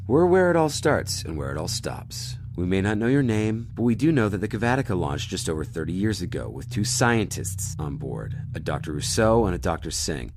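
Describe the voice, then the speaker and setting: A man playing a stern, authoritative starship captain who speaks firmly and deliberately. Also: deep voice, darkish tone, slight background noise. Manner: firm, measured, commanding. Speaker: ship captain. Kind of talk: audio drama acting.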